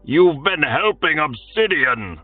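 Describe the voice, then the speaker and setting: Blustering male lord, loud and raised in pitch, as a narrator puts him on; thin, distant sound. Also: very animated, slow pace, breathy edge, no echo, narrow, tinny audio, soft background music, full character voice. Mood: angry. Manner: shouting, exasperated.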